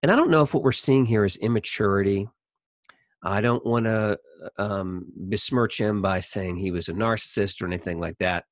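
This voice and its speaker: A man's voice: on the low side, moderate pace, no echo, studio quality. A man teaching a Bible story in an informal, conversational way, thinking aloud as he comments on it. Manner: conversational, thinking aloud, acting out imagined dialogue.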